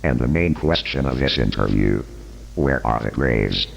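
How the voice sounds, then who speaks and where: A man's voice: a bass voice, animated, normal speed, gravelly, heavily acted. A man playing a persistent, probing interrogator who questions formally and insistently in a scripted horror interview.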